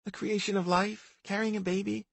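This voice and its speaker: Man doing a husky young pregnant woman. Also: sits high, flat delivery, moderate pace, no room sound, pitched above the performer's own voice. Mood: sweet. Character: hopeful young pregnant woman, sweet then icy. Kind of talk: audiobook character voice.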